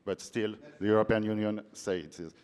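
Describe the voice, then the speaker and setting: A very deep-voiced man interprets for a panel. He speaks haltingly, with frequent restarts and fillers, and a long reverb of the large hall surrounds his voice. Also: fairly even intonation, darkish tone. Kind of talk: consecutive interpreting.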